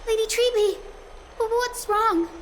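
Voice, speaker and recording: High-voiced, gentle young maiden. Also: female voice, slow, a little hiss or hum. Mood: worried. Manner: courteous, soft-hearted, hesitant. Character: gentle, polite, earnest young female healer-scholar. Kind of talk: video game voice acting.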